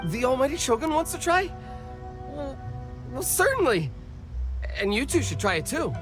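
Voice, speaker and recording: A flustered, eager street-food vendor, stammering apologies before earnestly explaining, with a mid-range voice that swings lively in pitch. Game music plays clearly behind. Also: normal speed. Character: nervous snack-stall vendor, eager and flustered. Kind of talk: game cutscene voice acting. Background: background music.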